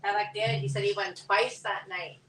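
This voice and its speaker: A hoarse woman who is losing her voice, chatty and teasing, reading viewer comments aloud on a live stream. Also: mid-range voice, steady tone, a little fast, background noise. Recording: echoey, from far off the mic.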